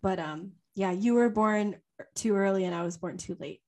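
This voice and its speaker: This is a young woman co-hosting a casual podcast, speaking at a middle pitch. She tells her story unscripted, rambling from one point to the next with frequent ums and easy laughter. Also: moderate pace, darkish tone. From Louisiana. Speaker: podcast co-host.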